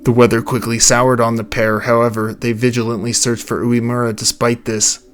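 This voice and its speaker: A young man with a low, soft voice, reading a scripted account of a mystery late at night at home. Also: flat delivery, normal speed. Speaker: narrator of a mystery channel. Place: home recording space.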